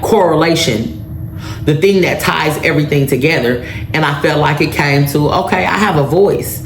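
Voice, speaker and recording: A woman in her late thirties talks through a solo podcast in a loose, storytelling way. She keeps asking her listeners "right?" as she goes. Her voice rings in a roomy space with a faint hiss behind it. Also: sits low. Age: 30s. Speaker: podcast host. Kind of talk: casual solo podcast monologue. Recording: echoey room with faint background noise.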